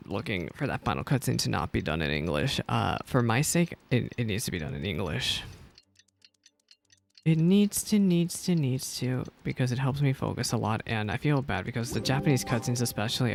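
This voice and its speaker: A man's voice: medium pitch, lively intonation, a little fast, vocal fry. A man streaming a game and giving casual, rambling reaction commentary. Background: soft video game music.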